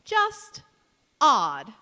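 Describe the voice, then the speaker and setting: Radio play, large hall: actress as bitter, tart woman, high-pitched and cutting. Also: wildly swooping pitch, drawn-out words, pushed and bright, heavily acted. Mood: needling. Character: sour, bitter, disapproving older woman cousin. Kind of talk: radio play acting on stage. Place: large hall, live stage performance. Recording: echoey hall with long reverb.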